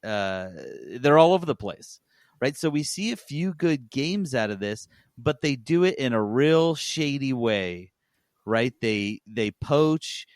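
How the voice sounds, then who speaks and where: A man co-hosting a podcast, giving opinions in a casual discussion in a halting, explanatory way. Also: medium pitch, lively intonation, no room sound, clean studio sound. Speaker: podcast co-host.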